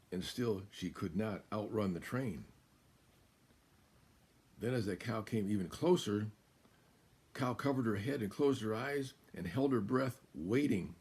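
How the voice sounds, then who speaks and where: An American man in his seventies reading a children's picture book aloud as a guest storyteller, in a deliberate, emphatic way. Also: on the low side, darkish tone, husky. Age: 70s. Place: small room indoors.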